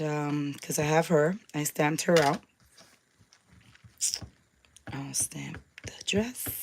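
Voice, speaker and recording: A young woman with a slightly raspy voice, chatting casually through a crafting tutorial, with many ums and asides. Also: low-pitched, slight background noise. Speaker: craft demonstrator.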